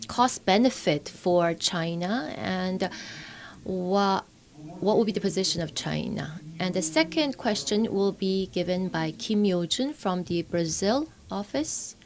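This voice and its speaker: Woman interpreting a forum, halting. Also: medium pitch. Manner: halting, with frequent pauses and restarts. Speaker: simultaneous interpreter.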